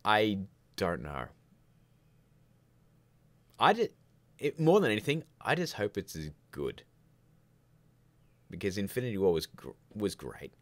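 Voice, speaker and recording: A man's voice: fairly low pitch, sing-song, unhurried, pauses often, dry recording. A man hosting a live stream, chatting casually and rambling through questions with candid opinions and jokey asides. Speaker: livestream host of a filmmaking show.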